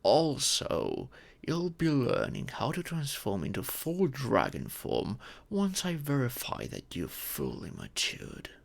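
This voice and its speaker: A man voicing a gentle, ancient dragon parent in humanoid form, formal and patient in a scripted audio roleplay. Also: mid-range voice, huge pitch swings, vocal fry, heavily acted. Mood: gentle, reassuring. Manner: formal, measured, patient, explanatory.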